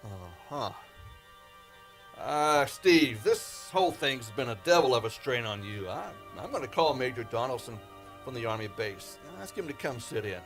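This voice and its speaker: A man's voice: mid-range voice, expressive, normal speed, over music, natural voice. A man acting in an old-time radio drama as a doubting, exasperated colleague of a pilot, with an impatient manner. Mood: skeptical, concerned. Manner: skeptical, impatient.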